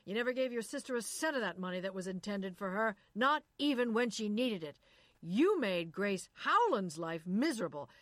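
Resentful husband nagging about money , woman reading him, low dry voice. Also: normal speed, dry recording, close to an everyday voice. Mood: shouting. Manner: resentful, lecturing, rising to shouting. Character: bitter anxious husband in his thirties.

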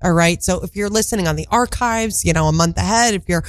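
A woman's voice: fairly low pitch, quick pace, dry recording. Congested woman co-hosting casual chat. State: congested, voice still recovering. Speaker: radio show co-host. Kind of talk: casual pop culture chit-chat.

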